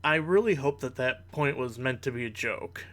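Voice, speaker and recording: A man giving argumentative reaction commentary in a measured, analytical way with formal wording. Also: medium pitch, lively intonation, fairly bright tone.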